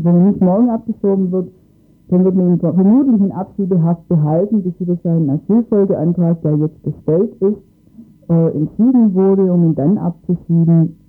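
A man's voice: high-pitched, narrow, tinny audio. A man explaining matters in a radio interview, hesitantly searching for his words. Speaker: interviewed expert on asylum cases.

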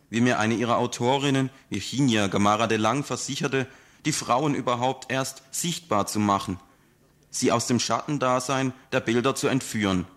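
Man reading a measured radio report. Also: medium pitch. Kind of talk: scripted radio report narration.

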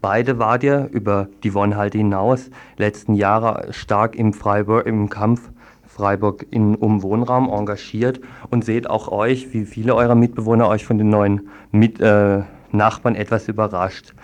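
A man's voice: on the low side, little pitch movement, a little slow. A man working as a radio reporter, reading a report and interviewing in a formal, wordy way. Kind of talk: scripted news report and studio interview.

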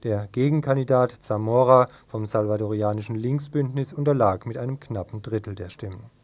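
A man presenting a radio news report, reading scripted narration in a measured, factual manner. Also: lowish voice, a little mellow, no echo.

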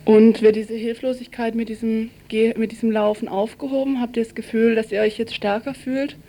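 A woman's voice: fairly high pitch, level tone, moderate pace, dark tone, slight background noise. A woman working as a radio reporter, narrating from a script and putting questions to interviewees.

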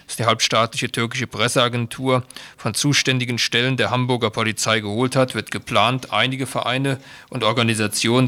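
Bright, dry-sounding man reading reports. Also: mid-range voice, no room sound. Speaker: radio reader of quotations. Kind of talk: scripted reading of official statements and reports.

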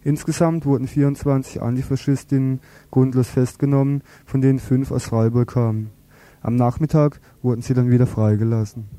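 Mellow man reading a scripted news report. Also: mid-range voice, flat delivery, a little fast, no room sound.

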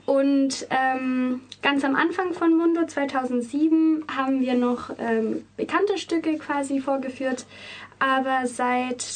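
A woman's voice: sits high, steady tone, slow. A young woman from Spain speaking casually as an interview guest in a radio studio. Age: young adult. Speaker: interview guest, student musical performer. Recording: slightly distant mic, faint noise.

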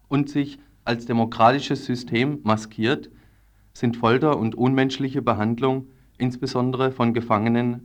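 Male radio news reader, average pitch, scripted political report.

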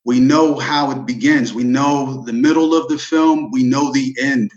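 A man's voice: medium pitch, fairly even intonation, measured pace. American man, slightly muffled recording. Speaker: panelist. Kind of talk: recounting personal experiences.

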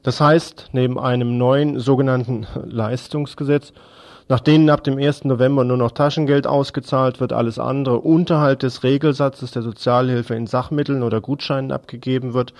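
A man's voice: medium pitch, fairly even intonation, moderate pace, a little mellow. A man presenting a radio news report, mixing reading with his own commentary.

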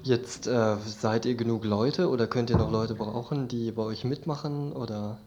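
A man's voice: mid-range voice, steady tone, normal speed, darkish tone, dull audio. A man interviewing guests in a casual, conversational radio style.

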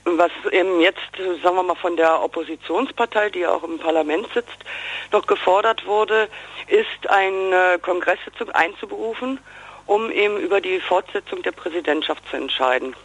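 A woman being interviewed, giving a spontaneous, halting report on current events over a dry phone line. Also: on the low side, husky, no echo. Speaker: interviewed political observer.

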